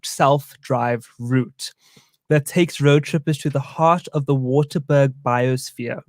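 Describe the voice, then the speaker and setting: A man's voice: mid-range voice, fairly even intonation, takes it slowly, dry recording, studio quality. A young man from South Africa co-hosting a casual live-stream chat over a meal, playful and talkative. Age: young adult. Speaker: co-host.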